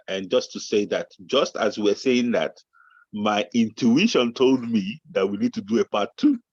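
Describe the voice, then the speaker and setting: A man's voice: medium pitch, slightly rough, dry recording, lo-fi sound. A Nigerian-born man whose first language is Yoruba, co-hosting a motivational talk show with preacher-like build-ups. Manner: animated, preacher-like build-ups, bursts into shouting. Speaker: podcast co-host.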